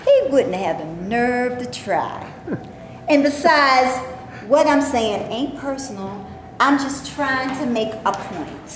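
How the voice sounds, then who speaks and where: A woman's voice: high-pitched, expressive, slow. A woman acting a feisty, fed-up film actress who is stuck playing maids, in a staged play reading, with sassy, indignant colloquial talk. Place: echoey room before a live audience. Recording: echoey room with noise, live audience recording.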